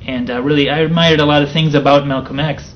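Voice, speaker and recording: A man in his thirties from the Chicago area, a guest telling his story in a soft-spoken, thoughtful way. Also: fairly high pitch, steady tone, quick pace. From United States (near Chicago). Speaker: interview guest. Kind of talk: relaxed storytelling interview. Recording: slightly dull sound with faint noise.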